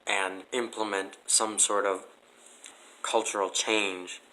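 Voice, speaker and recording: A man's voice: on the low side, level tone, slow pace, sharp tone, rough recording. A man chatting casually as an interview guest, with a blunt, skeptical way of talking. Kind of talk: casual chat.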